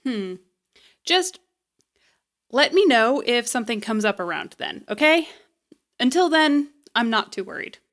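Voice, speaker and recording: A woman's voice: on the high side, expressive, measured pace, long pauses, fairly bright tone. A woman in an audio drama playing a stubborn, flippant, loyal repairperson with a casual, wry, defiant way of talking. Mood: wry, unbothered.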